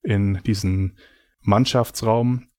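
A man's voice: on the low side, steady tone, takes it slowly, darkish tone. A man giving reflective, explanatory answers in a casual conversational interview. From Germany. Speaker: interview guest.

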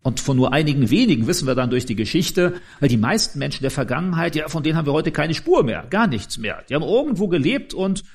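A man's voice: on the high side, sing-song, fast talker, hardly any pauses, slightly rough. A man preaching in an informal, conversational and explanatory way, addressing his listeners directly. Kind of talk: informal sermon.

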